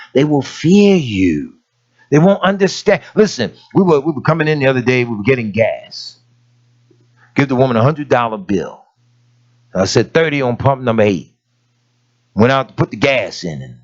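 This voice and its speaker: A man preaching a sermon in a raspy voice, telling everyday stories and rising to emphatic points. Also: medium pitch, a little mellow, highs cut off. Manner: folksy storytelling preaching, rising to emphatic peaks.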